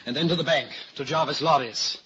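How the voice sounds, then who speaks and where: An actor playing a self-sacrificing, melancholy English gentleman, resigned yet resolute, speaking deliberately in an old-time radio drama. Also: a little high, lightly acted. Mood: commanding. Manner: deliberate, theatrical. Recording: dull, hissy old radio recording.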